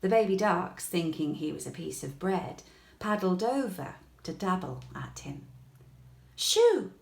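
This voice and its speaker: A woman reading a children's bedtime story aloud in an expressive, gentle way, with deliberate pauses. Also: on the low side, vocal fry. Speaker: storybook reader. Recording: echoey room.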